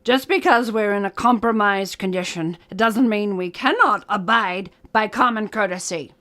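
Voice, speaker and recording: An actress playing a folksy, firm, no-nonsense small-town judge in an audio drama. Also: female voice, medium pitch, normal speed, fairly bright tone, natural voice. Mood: stern, formal.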